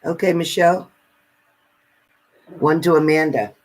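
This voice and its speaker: A man's voice: on the high side, fairly even intonation, unhurried, rough recording. A man hosting a casual live question-and-answer stream, giving spirit readings and chatting with viewers.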